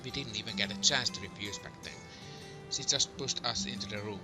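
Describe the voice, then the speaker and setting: A man voicing a male champion hero who narrates his quest in a visual novel with measured storytelling. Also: on the low side, pushed and bright. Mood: narrating. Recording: music behind the voice.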